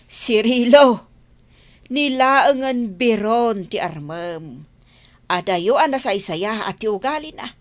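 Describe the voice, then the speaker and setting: A woman's voice: a little high, lively intonation, slow pace. An actress playing a nagging, talkative middle-aged village mother in a comedy radio play. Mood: fussing. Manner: nagging, chatty, given to exclamations.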